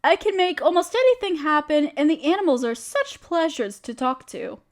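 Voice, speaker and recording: A woman's voice: high-pitched, expressive, normal speed. A woman playing a friendly, genteel witch who chats kindly in quaint, dainty phrases, in an audio roleplay. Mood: kindly, reflective. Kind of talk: scripted audio roleplay speaking to the listener.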